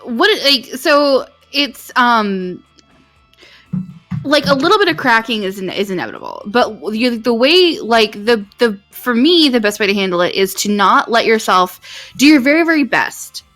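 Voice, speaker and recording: A woman's voice: fairly high pitch, very animated, normal speed, a bit bright. A woman chatting casually as a co-player on a visual novel livestream. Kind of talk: casual chat. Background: game music.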